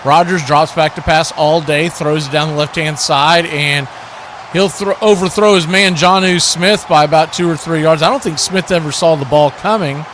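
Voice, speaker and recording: A man hosts a live football broadcast, calling the action in short, clipped phrases. His voice sits a bit high and is bright and forceful, with faint noise behind it. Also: steady tone, moderate pace. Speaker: play-by-play host of a football watch party. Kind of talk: live sports play-by-play commentary.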